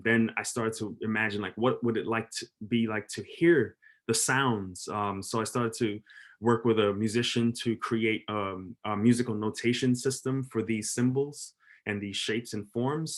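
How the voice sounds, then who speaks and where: Artist on a virtual panel, a man with a lower voice who pauses and reaches for his words. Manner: thoughtful, halting, many fillers. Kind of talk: online panel discussion. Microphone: video call.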